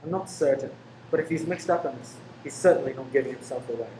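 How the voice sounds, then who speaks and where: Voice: mid-range voice, fast talker, dark tone, slight background noise, natural voice, poor audio. A performer acting a deferential junior detective in a radio play, clipped and cautious. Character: deferential junior Scotland Yard detective sergeant.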